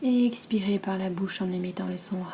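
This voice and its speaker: A woman with a French accent from France leads a guided relaxation as a yoga nidra teacher, speaking softly, breathily and calmly, with long pauses between phrases. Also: medium pitch, noisy background. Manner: soft, breathy, calm and soothing delivery.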